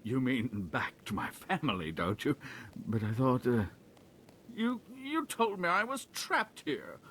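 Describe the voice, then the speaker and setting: A man's voice: medium pitch, big pitch swings, unhurried, fairly bright tone, slight background noise. An actor playing a fretful, pampered young nobleman in a video game, stammering and theatrical. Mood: flustered.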